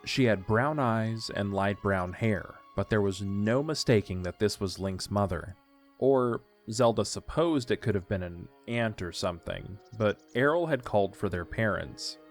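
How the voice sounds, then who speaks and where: A man's voice: on the low side, measured pace, soft background music. A man narrating a scripted story for an audio drama.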